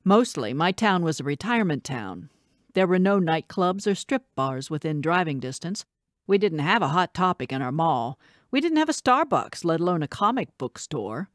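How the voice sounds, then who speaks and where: Audiobook: woman reader as bookish twenty-something female teacher, wry and candid, animated pitch, dry close sound. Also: normal speed, dry recording. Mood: wry, matter-of-fact. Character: bookish single schoolteacher in her twenties, wry, candid storyteller. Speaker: audiobook narrator. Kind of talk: scripted audiobook narration.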